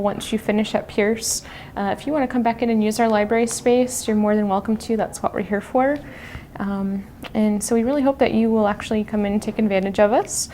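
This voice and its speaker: A woman's voice: a little high, dark tone. A woman talking informally and explaining things as a librarian being interviewed. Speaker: college librarian, interview guest. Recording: noticeable background ambient noise.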